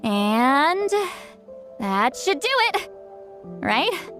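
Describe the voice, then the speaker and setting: A high, youthful shaman woman from an anime game. She is aloof yet awkward and easily flustered, with very animated intonation and unhurried, hesitant delivery. Faint music sits behind her. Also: exaggerated voice. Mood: focused, unsure.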